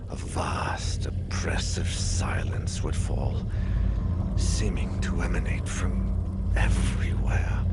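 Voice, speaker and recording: An actor playing a haunted, exhausted male survivor of an ordeal in a horror game, speaking gravely and breathily. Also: rumbling low, heavily acted. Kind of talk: dramatic first-person game narration.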